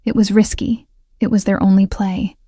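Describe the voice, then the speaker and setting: A woman's voice: medium pitch, little pitch movement, moderate pace, dark tone, slightly rough, no room sound, studio quality, close to an everyday voice. A woman voicing a tense, decisive male mafia man who speaks in short, firm sentences. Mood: determined. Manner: terse and decisive.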